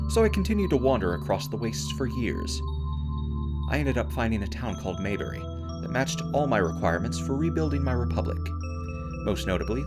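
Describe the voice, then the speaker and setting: Pompous wasteland emperor played by a man, deluded and grandiose, low swooping delivery, radio drama speeches over loud music. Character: pompous self-proclaimed emperor, deluded, boastful wasteland ruler. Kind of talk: comedic post-apocalyptic radio drama monologue.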